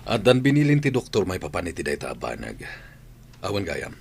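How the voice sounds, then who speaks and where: A man's voice: sits low, wildly swooping pitch, fairly quick, creaky, slight background noise, heavily acted. A man playing a concerned, authoritative father in a radio drama, speaking with an Ilocano accent. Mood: concerned, grave. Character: concerned father, male head of household.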